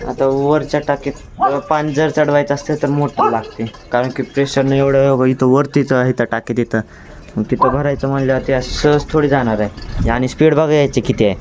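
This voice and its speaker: A man's voice: fairly even intonation, brisk, a crowd in the background. A young man with a rural Marathwada accent, chatting casually as he vlogs his day. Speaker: vlogger. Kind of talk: casual vlog chat. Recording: noisy outdoor vlog, traffic rumble.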